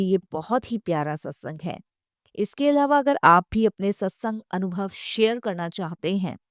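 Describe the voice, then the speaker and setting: A woman's voice: mid-range voice, no echo, clean studio sound. A woman reading scripted devotional narration in a reverent, earnest manner, often repeating phrases for emphasis.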